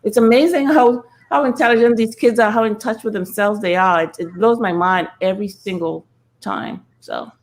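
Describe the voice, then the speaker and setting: A woman's voice: medium pitch, steady tone, normal speed. A middle-aged woman, explaining earnestly and conversationally as an interview guest. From Trinidad. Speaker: podcast guest, speaker and nonprofit founder.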